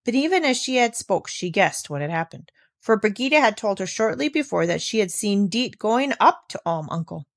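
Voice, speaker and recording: A woman reading a children's classic aloud as expressive scripted narration. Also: medium pitch, moderate pace, dry recording, studio quality. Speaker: audiobook reader.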